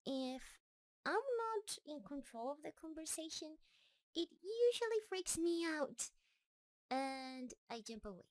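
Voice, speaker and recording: A woman's voice: high voice, a bit bright, full character voice. A woman playing a shy, sweet, lovestruck spider monster in an intimate roleplay audio, nervous and hesitant in her delivery. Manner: nervous, hesitant, stammering, gushing.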